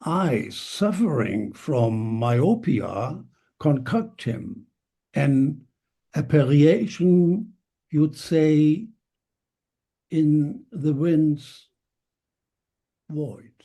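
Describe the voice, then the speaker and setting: Dark, husky man reading verse very slowly. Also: on the high side, lively intonation. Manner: slow, halting recitation. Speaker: translator reading a poem. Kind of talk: poetry reading. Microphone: video call.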